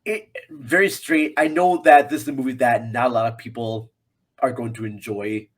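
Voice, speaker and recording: A man with a mid-range voice talks casually as a guest reviewer on a film show. His delivery is halting, as if he is working out each opinion while he speaks. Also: mid-range voice, fairly even intonation.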